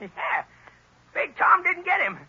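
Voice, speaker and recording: Very high creaky voice, childish menacing backwoods halfwit hunchback, halting repetitions, vintage radio drama. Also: male voice, sing-song, sharp tone, exaggerated voice. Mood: sly, sullen. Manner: childish, halting, repeats himself. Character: simple-minded, menacing backwoods hunchback with savage dogs. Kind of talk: 1930s radio acting. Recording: dull vintage 1930s radio recording.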